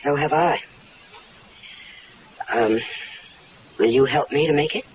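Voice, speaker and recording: A woman's voice: very deep voice, slow, darkish tone, husky, full character voice. An actress playing a scheming, unhappily married woman who coaxes and teases, in a 1970s radio drama. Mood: coaxing. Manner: teasing, coaxing, knowing. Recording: dull lo-fi 1970s radio recording.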